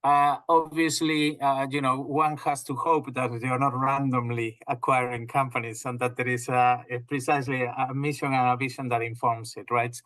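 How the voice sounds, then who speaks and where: A man from northwest Spain with a Spanish accent, a company president explaining his business in a measured way, with frequent fillers and restarts. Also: mid-range voice, flat delivery, fairly bright tone, dry recording. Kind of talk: business interview over video call. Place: home or office in England.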